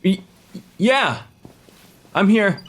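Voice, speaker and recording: An awkward, anxious forensic pathologist. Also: male voice, high-pitched, lively intonation, very slow, a bit bright, a little hiss or hum, exaggerated voice. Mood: nervous, self-coaching. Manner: hesitant, apologetic, muttering to himself. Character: anxious, awkward young male forensic pathologist, new in town. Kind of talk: naturalistic audio drama acting.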